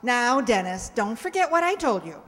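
A woman's voice: on the high side, very animated, moderate pace, a bit bright. An older woman playing a bossy, exasperated, sardonic mother in an old-time radio comedy. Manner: scolding, sardonic. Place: amateur stage reading in a hall. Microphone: stand microphone. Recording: echoey stage in a large hall.

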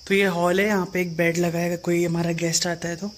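A man's voice: high voice, level tone, a little fast. A man, giving an informal vlog tour, chatting straight to viewers. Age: 20s. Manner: informal, conversational, addressing viewers. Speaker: vlogger. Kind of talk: casual vlog tour narration. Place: home rooms, courtyard and small shop. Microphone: phone at arm's length. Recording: handheld vlog with clear background noise.

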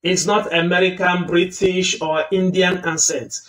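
Man explaining software step by step. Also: high voice, level tone, a little slow, distant mic. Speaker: tutorial host. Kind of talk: screen-recorded software tutorial.

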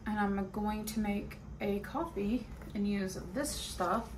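A young adult woman chatting casually to her phone camera in a home kitchen, sounding tired, unhurried and rambling. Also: mid-range voice, fairly even intonation, background noise. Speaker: vlogger. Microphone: phone front camera at arm's length.

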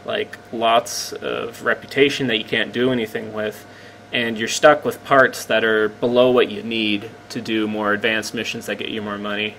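A man with a slightly croaky voice casually commenting on his gameplay, rambling and poking fun at himself as he explains. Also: fairly low pitch, level tone, moderate pace, fairly bright tone, a little hiss or hum, rough recording. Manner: rambling, self-deprecating, explains as he plays.

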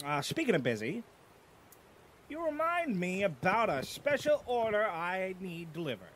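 Man voicing a wisecracking cartoon dog, very high, bouncy pitch, faint hiss. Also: very animated, unhurried, a bit bright, heavily acted. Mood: dry. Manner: wisecracking, dry and teasing. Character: cocky grumbling male cartoon dog.

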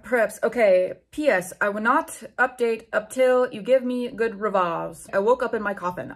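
A woman's voice: mid-range voice, slightly rough. A woman in her twenties reading fanfiction aloud at home, with theatrical, incredulous, sweary comic commentary. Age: 20s. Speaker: YouTube host. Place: small home room with bookshelves, indoors.

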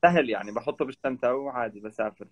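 A young man, a travel vlogger guesting on a live video call from indoors, telling stories in a relaxed, animated way. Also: medium pitch, racing, muffled recording. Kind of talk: casual live chat. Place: indoor room. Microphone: video call, webcam.

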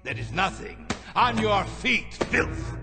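An actor playing a stammering, cruel former camp captain in a radio drama. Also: male voice, high voice, big pitch swings, slow pace, exaggerated voice. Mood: sadistic. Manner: stammering, mocking laughs, then fearful. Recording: radio play with music behind.

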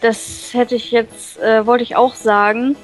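A woman who is a native German speaker, reading visual novel text aloud and translating and commenting casually, with halting pauses to search for words. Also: a little high, little pitch movement, rough recording. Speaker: let's play co-host. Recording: music playing behind the voice.

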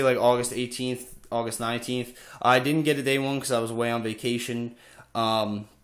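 A young man with a stuffy, slightly congested voice from a summer cold chats casually about his latest purchases. His middle-pitched voice runs loose and friendly, full of "uh" fillers. He sits in a slightly echoey room at home, recorded on the camera mic. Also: a bit bright. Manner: chatty, many "uh" fillers. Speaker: video game collector vlogger. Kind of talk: casual show-and-tell.

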